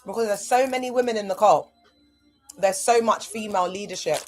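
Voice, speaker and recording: A woman hosting a livestream, talking in a casual, animated way, full of rhetorical asides. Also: mid-range voice, fairly quick, faint music behind. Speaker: livestream host.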